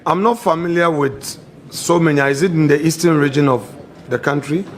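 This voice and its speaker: A man on a committee formally questioning a nominee, pressing the same point with measured, insistent questions. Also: on the high side, slight background noise.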